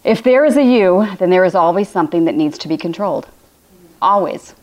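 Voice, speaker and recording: A woman from Illinois with a raspy, cracking voice, giving a rambling, repetitive spiritual talk on a live stream at home. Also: fairly low pitch, lively intonation, a little fast, a little mellow. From Illinois, USA. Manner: rambling, repetitive phrasing with frequent restarts. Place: home room with a fireplace, indoors.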